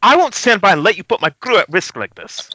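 A man's voice: high-pitched, big pitch swings, fairly quick, sharp tone, slightly rough, exaggerated voice. A man playing a fussy, indignant stunt coordinator in a screenplay table read over a dull internet call.

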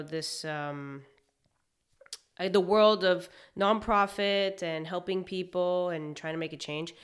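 A young woman from Hong Kong, a chef, talking reflectively in a casual interview with many pauses and fillers. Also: lowish voice. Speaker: guest, chef.

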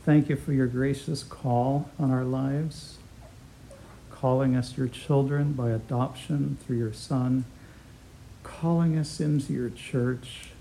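A man's voice: mid-range voice, fairly even intonation, slow pace, gravelly, slight background noise, low-quality recording. A middle-aged man teaching a Bible class, opening with a prayer and then lecturing in a conversational way, chuckling now and then. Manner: warm, conversational, chuckles often, with fillers.

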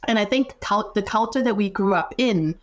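A woman from Singapore talks as a clinical psychologist on a podcast, pausing often with ums and restarting her phrases. Her voice sits at an ordinary middle pitch, and her tone stays thoughtful and measured. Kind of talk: reflective podcast conversation.

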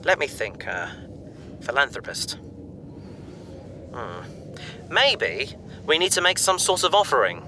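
A man's voice: medium pitch, sing-song, unhurried, long pauses, background noise. A man playing a bookish, enthusiastic space adventurer who explains things chattily in a sci-fi audio drama. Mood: puzzled, thinking. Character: male bookish, eager space adventurer and explorer.

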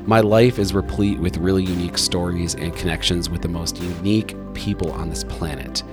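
A man in his thirties with a notably low, deep voice, hosting a podcast. He tells stories casually, wandering through details with plenty of "like" and "bro". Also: steady tone, brisk, music in the background. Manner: rambling storyteller, lots of fillers and "bro". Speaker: podcast host. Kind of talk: casual conversation.

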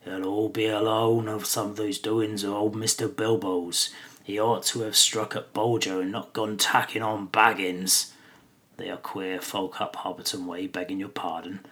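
A man's voice: low-pitched, bright, forceful tone. A man voicing a suspicious, grumbling male hobbit farmer who talks in folksy rustic dialect.

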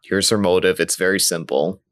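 A man co-hosting a podcast, chatting casually about a video game in an animated way and often laughing as he talks. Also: low voice, moderate pace, dry recording. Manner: animated, laughs while talking. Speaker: podcast co-host.

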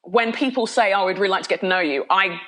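A woman's voice: medium pitch, quick pace, a bit bright. A middle-aged woman from London, a pastor and podcast guest, talking candidly with energy and frequent laughs, often restarting her sentences. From London, of Nigerian heritage. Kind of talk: candid conversational interview.

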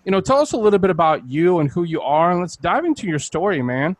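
Hoarse blunt-talking male podcast host. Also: high voice, very animated, fast, no echo. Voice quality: blunt, crude-spoken. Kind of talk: casual podcast intro with profanity.

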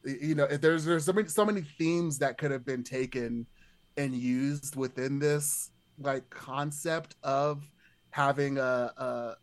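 A man's voice: medium pitch, fairly even intonation, a little slow. A man talking casually about film as a podcast guest, in rambling, circling sentences peppered with "like".

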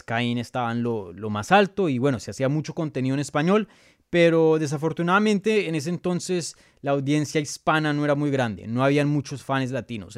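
A man's voice: mid-range voice, no echo. Chatty Colombian-born man, dry close sound. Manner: chatty, many fillers and restarts. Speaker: MMA journalist and show host. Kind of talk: live casual Q&A reading viewer questions.

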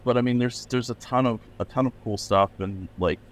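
A man's voice: medium pitch, fairly quick. A middle-aged man from Pennsylvania, a record label owner, chatting loosely in a podcast interview with many fillers and restarts. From Pennsylvania, USA.

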